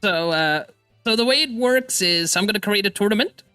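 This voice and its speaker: A man's voice: sits high, expressive, bright, forceful tone, no echo, soft background music. A man hosting a live gaming stream, goofy and upbeat, joking with his guest and explaining how to join a game. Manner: goofy, upbeat, fast-talking. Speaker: stream host. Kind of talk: casual gaming stream chat.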